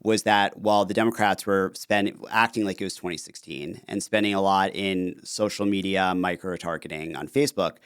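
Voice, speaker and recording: A man talking casually as a podcast guest, quick and animated with lots of fillers. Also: deep voice, clean tone, dry recording, clean studio sound. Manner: fast, animated talker with many fillers. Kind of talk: casual interview conversation.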